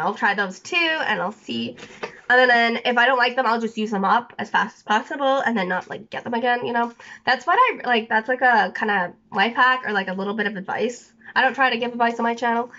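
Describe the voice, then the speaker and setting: A woman's voice: on the high side, sharp tone, dull audio. Sniffly young woman rambling to camera. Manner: rambling, many fillers and restarts. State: sniffly with a scratchy throat. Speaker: vlogger. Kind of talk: rambling casual chat to camera. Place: small bedroom indoors.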